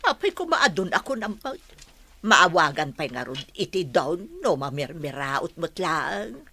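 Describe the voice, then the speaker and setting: A woman's voice: lowish voice, big pitch swings, measured pace, pushed and bright, slight background noise, full character voice. A woman with an Ilocano Filipino accent playing a worried, long-suffering rural wife and mother in a radio drama. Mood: pleading.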